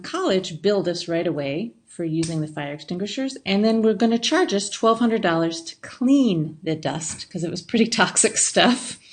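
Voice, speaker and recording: A woman in her fifties telling a friend a story for a recorded birthday tribute, relaxed, with fillers. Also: fairly low pitch.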